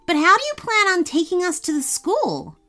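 Woman playing a high-pitched, eager young schoolboy. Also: very animated, normal speed, sharp tone, faint music behind, full character voice. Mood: puzzled. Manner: earnest, hesitant stammers. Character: polite, eager young schoolboy, easily flustered. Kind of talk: children's storybook character voice.

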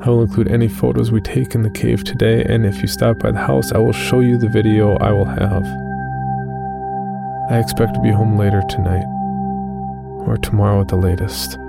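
A man plays an obsessed amateur caver narrating his horror journal. The voice is deep and gravelly, with a solemn, brooding delivery over clear background music. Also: level tone, normal speed. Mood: resolved. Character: Ted, driven haunted amateur caver writing a journal. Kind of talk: dramatic first-person horror narration. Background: music under the voice.

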